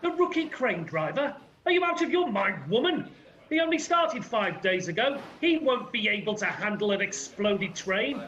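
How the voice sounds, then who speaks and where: Irascible railway controller played by a teenage boy, very high and forceful, blustering radio-play delivery. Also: sing-song, moderate pace, lo-fi sound, full character voice. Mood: alarmed, indignant. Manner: blustering, exasperated. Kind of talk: school radio play acting.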